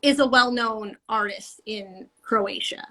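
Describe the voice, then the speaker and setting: A middle-aged woman with a bright, slightly high voice talks casually over a video call. She is animated and laughs easily, and her speech comes through a handheld mic. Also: slow. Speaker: guest artist.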